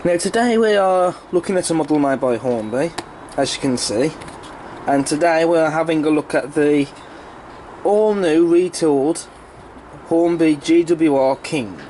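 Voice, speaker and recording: A British man reviews casually to camera in a somewhat high voice, made croaky and congested by a cold. He explains things in a rambling, informal way. There is faint noise behind him. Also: measured pace, mellow, rough recording. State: has a cold, sore throat. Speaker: model railway video reviewer.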